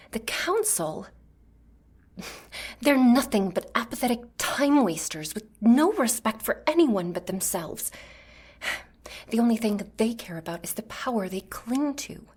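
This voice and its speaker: A fairly high, husky female mage played by an actress speaks with earnest desperation. She is a righteous figure in a fantasy ASMR roleplay, breathy and dramatic, weighed down with anguish. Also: sing-song, unhurried, pauses often, a little mellow, full character voice. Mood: bitter frustration. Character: desperate, righteous mage pleading to rescue a captured friend.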